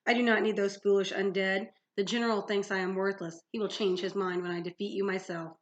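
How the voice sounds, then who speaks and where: A woman's voice: medium pitch, fairly even intonation, moderate pace, lightly acted. A woman voicing a gloating male manticore villain from a fantasy storybook, sneering and formal, with a French accent. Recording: dull lo-fi sound.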